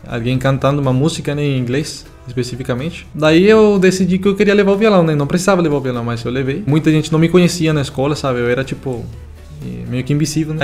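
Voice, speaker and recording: A nineteen-year-old man from Venezuela, a Spanish native speaker with a Venezuelan accent, telling his story as a songwriter in a relaxed, halting way full of fillers. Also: medium pitch. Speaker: young songwriter. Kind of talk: casual interview answers.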